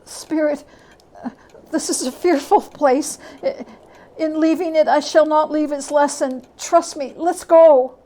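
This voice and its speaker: Brief: terrified old miser acted by a woman, pleading and stammering, raised pitch, storybook ghost tale. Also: steady tone, a little slow, mellow. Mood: fearful. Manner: stammering, imploring.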